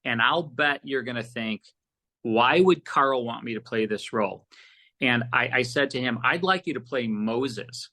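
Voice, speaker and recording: A man's voice: mid-range voice, measured pace. A man speaking casually as an interview guest, a producer telling rambling anecdotes and acting out bits of past conversations. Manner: animated rambling anecdotes, often quoting conversations.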